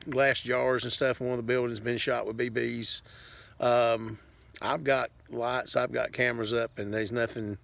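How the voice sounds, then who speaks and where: A man's voice: mid-range voice, creaky, a bit bright. A man gives a casual, rambling update to viewers in a folksy, plainspoken way, speaking outdoors into a handheld camera. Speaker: farm vlogger. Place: outdoors on a farm.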